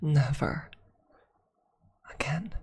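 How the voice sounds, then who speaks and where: A woman voicing a lovestruck, wistful immortal vampire in an ASMR roleplay, in a breathy whisper with slow, tender, formal old-fashioned phrasing. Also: low voice, darkish tone, heavily acted. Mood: wistful, weary.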